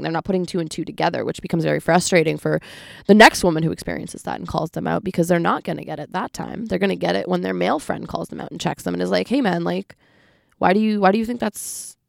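A woman comedian talks frankly in a podcast interview, pressing her point with emphasis and frequent restarts. Her voice is heavily gravelly with lots of vocal fry. The recording is dry and close, with no room sound. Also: fairly low pitch, dry recording.

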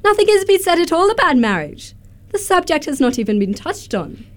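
A woman's voice: very high voice, sing-song, brisk. A woman playing a self-assured, romantic young Victorian lady in a radio comedy, with an affected, emphatic manner. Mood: gushing, self-assured. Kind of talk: stage-comedy radio acting.

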